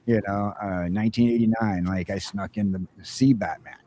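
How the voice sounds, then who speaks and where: A middle-aged man hosting a casual geek chat, rambling and haltingly repeating his words as he goes. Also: lowish voice, steady tone, mellow, a little hiss or hum. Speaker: podcast host.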